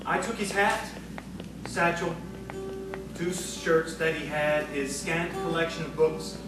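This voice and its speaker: A man's voice: a little high, slow. Man as an earnest old-time frontier townsman, echoey. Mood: wistful, reflective. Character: earnest frontier townsman narrating from his journal. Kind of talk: radio-play narration and acting. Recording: echoey, distant stage recording with music.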